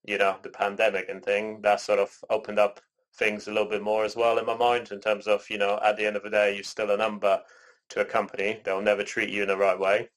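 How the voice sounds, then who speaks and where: A man raised in Canada, co-hosting a podcast in relaxed casual chat, rambling with lots of "you know" and "sort of.". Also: lowish voice, a little fast, poor audio. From born in Poland, raised in Canada. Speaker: podcast co-host.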